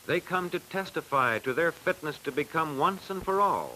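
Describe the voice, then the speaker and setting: Old 1940s american guy narrator, formal and measured, pitch moves a lot, faint film hiss. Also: lively intonation, bright, forceful tone, rough recording. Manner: formal, measured, declamatory delivery. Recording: hissy 1940s film soundtrack.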